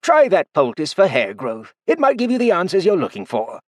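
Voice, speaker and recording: A man's voice: sits high, sing-song, moderate pace, dry recording, pitched above the performer's own voice. A man voicing a sly, playful old male cultivator who teases with feigned innocence. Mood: wry.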